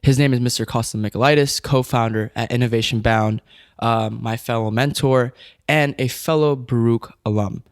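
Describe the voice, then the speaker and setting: A man's voice: mid-range voice, fairly even intonation, normal speed, smooth. A young man hosting a podcast interview, casual and upbeat, using fillers like "kinda". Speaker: podcast host.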